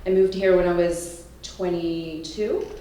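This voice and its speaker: A woman's voice: fairly low pitch, fairly even intonation, a little slow, noisy background. A woman from Morocco, a school teacher in her thirties, introducing herself to an audience in an earnest, enthusiastic way. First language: Arabic or French. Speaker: panel member, school teacher. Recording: echoey room, distant mic.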